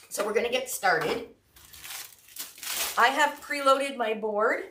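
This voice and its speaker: A woman's voice: medium pitch, fairly bright tone, distant mic. A woman with a head cold hosting a live craft tutorial, chatty and greeting viewers by name. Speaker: craft shop host. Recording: roomy sound, mic set back.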